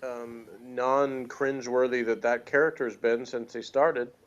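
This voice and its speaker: A man's voice: mid-range voice, steady tone, unhurried, lo-fi sound. A man in casual, rambling chat as co-host of a review show, with a wry manner. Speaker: podcast co-host reviewing TV episodes.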